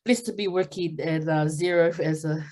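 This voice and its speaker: A woman's voice: low voice, fairly even intonation, moderate pace, a little mellow. Bubbly young woman who laughs a lot. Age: young adult.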